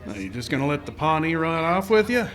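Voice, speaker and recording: An actor playing a level-headed, skeptical frontier marshal in a live old time radio western. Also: male voice, a little high, big pitch swings, quick pace, fairly bright tone. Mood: wry, businesslike. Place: live show in a park. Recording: live outdoor stage, noisy background.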